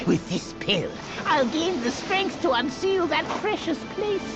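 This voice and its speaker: A woman voicing a scheming, self-pitying elder immortal in a theatrical, drawn-out way for a video game. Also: extremely high pitch, highs cut off, exaggerated voice. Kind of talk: video game cutscene acting.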